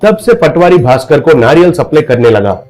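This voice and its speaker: A man narrating a scripted cartoon story in a steady storytelling manner. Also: a little high, normal speed, faint music behind.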